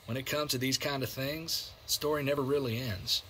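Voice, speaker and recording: An actor playing a weary, loyal survivor in a video game, plainspoken and folksy, with an American accent. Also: male voice, mid-range voice, steady tone, a little fast, pushed and bright, slight background noise, lightly acted. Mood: rueful, reflective. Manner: folksy, colloquial, reflective. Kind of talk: naturalistic video game drama acting.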